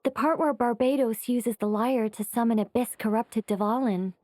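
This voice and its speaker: Woman as a high-voiced, measured, wry angel. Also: fairly even intonation, a little mellow, soft background music, natural voice. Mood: explanatory. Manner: measured, matter-of-fact. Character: cautious, wry female angel, matter-of-fact. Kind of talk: animated game dialogue.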